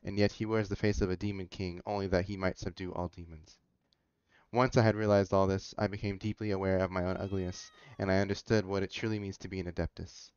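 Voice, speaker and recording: A man's voice: sits low, little pitch movement, quick pace, darkish tone, clear, resonant tone, no room sound. A man giving casual game commentary and reading dialogue aloud, on a dull-sounding close mic with faint music. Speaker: gamer commentating a playthrough.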